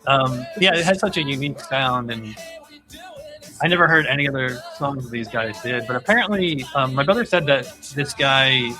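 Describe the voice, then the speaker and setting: A man hosting a podcast, chatting casually about pop music and thinking aloud in a halting way. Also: medium pitch, animated, sharp tone. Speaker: podcast host.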